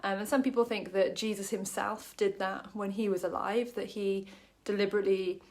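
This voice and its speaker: A woman from Wales talks through a short Bible passage in a relaxed, chatty way. She fills her sentences with ums and drops in small jokes about herself. Her voice sits at an ordinary middle pitch. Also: moderate pace. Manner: chatty, many ums, self-deprecating jokes. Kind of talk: casual Bible study talk to camera.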